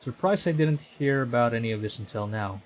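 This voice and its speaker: A man's voice: mid-range voice, lively intonation, brisk. A man reading a visual novel aloud on stream, conversational, often stumbling and correcting himself. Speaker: VTuber streamer.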